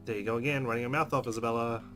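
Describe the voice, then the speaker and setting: A man's voice: mid-range voice, fairly even intonation, racing, fairly bright tone. A man playing a visual novel, reading the narration aloud expressively with casual, wry asides over game music. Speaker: let's player. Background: game music with guitar.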